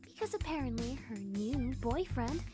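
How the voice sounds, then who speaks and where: A woman's voice: a little high, lively intonation, normal speed, warm tone, husky, soft background music. An actress voicing a fiery, hurt teenage girl, sarcastic and emotional, in a dating-sim game. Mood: bitter, venting. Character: fiery, hurt, bitter teenage girl, sharp-tongued student.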